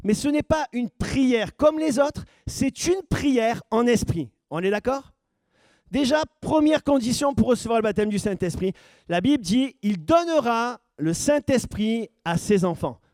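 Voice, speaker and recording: A man's voice: very high-pitched, expressive, a little slow, darkish tone, no echo. A man preaching as pastor, questioning his congregation and building to emphatic points. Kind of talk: sermon teaching.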